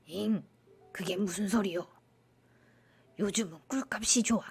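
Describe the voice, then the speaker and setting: A woman's voice: mid-range voice, a little slow, vocal fry, darkish tone, a little hiss or hum, pitched below the performer's own voice. A woman voicing a male honey seller with folksy, old-fashioned speech in a storybook tale. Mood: puzzled, matter-of-fact. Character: plain-spoken male market honey seller.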